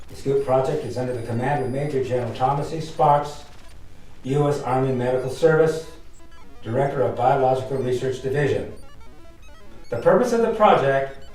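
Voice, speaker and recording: A man's voice: mid-range voice, a little mellow, natural voice. Man acting formal military announcer, heavy echo. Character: impersonal male announcer reading classified military file. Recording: very echoey, distant, faint music.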